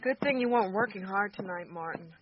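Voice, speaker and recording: A woman's voice: medium pitch, fast, lightly acted. Radio drama wife, female actor: middle register, anxious, loving, on a lo-fi recording. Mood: tender, relieved. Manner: anxious, tender. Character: Jenny, worried, affectionate wife and mother. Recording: dull lo-fi audio, background noise.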